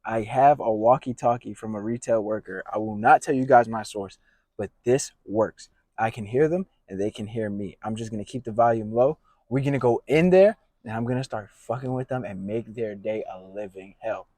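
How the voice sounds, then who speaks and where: A man's voice: on the low side, normal speed, darkish tone, dry recording. A man chatting casually as a prank vlogger, brash and slangy, with frequent swearing. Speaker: prank video creator. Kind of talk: casual profane vlog chat.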